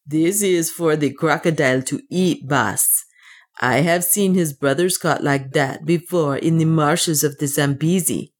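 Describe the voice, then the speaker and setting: Woman voicing a grim, boastful low-voiced dwarf warrior. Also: unhurried. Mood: gleeful. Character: loyal grim male dwarf warrior, boastful, devoted servant. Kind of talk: audiobook character dialogue.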